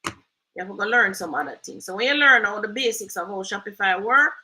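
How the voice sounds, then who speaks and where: A woman's voice: medium pitch, a little fast, bright, forceful tone. A Jamaican woman hosting a casual screen-share tutorial, chatty and loose, often asking "right?". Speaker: host.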